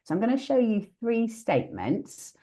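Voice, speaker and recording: A woman hosting an interactive online teaching workshop, talking in a friendly, lively way with many fillers and restarts. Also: fairly high pitch, moderate pace, slightly rough. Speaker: workshop host.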